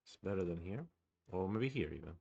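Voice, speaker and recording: A man's voice: sits low, animated, brisk, gravelly, dark tone, slightly rough, highs cut off. A man with a Swedish accent streams his go game, thinking aloud in a hesitant, musing way. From Sweden. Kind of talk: live-streamed game commentary.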